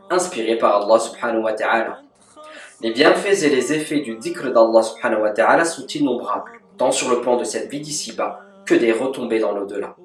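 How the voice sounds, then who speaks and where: A man giving a calm, measured religious lesson as a scripted voice-over, with Arabic devotional formulas woven into his speech. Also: mid-range voice. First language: French. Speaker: religious teacher. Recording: echoey, from a distance.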